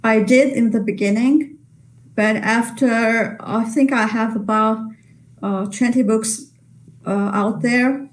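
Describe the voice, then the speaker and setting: A woman, by mother tongue, answering webinar questions. She talks slowly and carefully, pausing and restarting her sentences as she works out her answers. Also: a little high, steady tone, rough recording. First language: Italian. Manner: measured, hesitant, many restarts. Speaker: guest translator.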